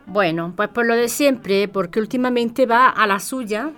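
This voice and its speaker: A woman's voice: fairly high pitch, unhurried, fairly bright tone, clean tone, faint music behind. An actress with a Spain accent playing a caring but exasperated working woman, speaking colloquially and expressively. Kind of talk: audio fiction podcast acting.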